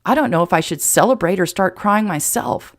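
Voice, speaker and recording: A woman's voice: on the low side, animated, fairly quick, natural voice. A woman voicing an earnest, lovestruck, plain-spoken small-town sheriff in an audiobook romance, fond and a little exasperated. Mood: fond, puzzled. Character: earnest, blunt, lovestruck adult male sheriff turned rancher.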